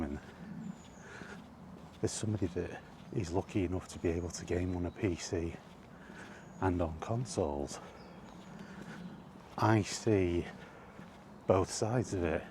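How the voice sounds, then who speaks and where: A man giving a casual, halting opinion monologue to a vlog audience, rambling along in short phrases while out walking. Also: sits low, dark tone, a little hiss or hum. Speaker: video blogger. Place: outdoors, walking.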